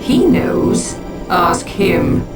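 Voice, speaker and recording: Game casting: defiant alien witch, slow-paced and portentous, cryptic and proud, over music. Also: fairly low pitch, big pitch swings, full character voice. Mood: cryptic, scornful. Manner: solemn, portentous, measured. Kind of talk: video game cutscene acting. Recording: music behind the voice.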